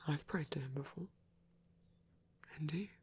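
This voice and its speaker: A performer playing a gentle, caring male vampire priest in an intimate ASMR roleplay, speaking in a breathy whisper with a measured, slightly formal manner. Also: mid-range voice. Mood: earnest, soothing.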